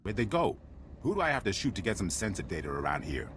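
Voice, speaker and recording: An actor playing a wry, world-weary military commander in a video game, with a blunt manner. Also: male voice, lowish voice, big pitch swings, fast talker, background noise. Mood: wry, irritated. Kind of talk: video game cutscene acting.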